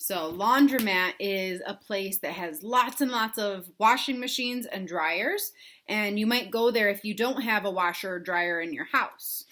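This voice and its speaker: A woman reading a picture book aloud to young children, pausing to explain things simply and ask them questions. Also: medium pitch, pushed and bright. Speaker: read-aloud storyteller for children.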